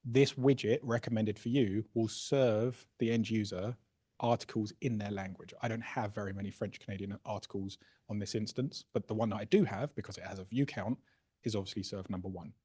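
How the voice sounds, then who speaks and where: A man presenting a software workshop, casually explaining a live demo and often checking in with "right?" and "okay?". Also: on the low side, fairly even intonation, brisk, slightly rough. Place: workshop room.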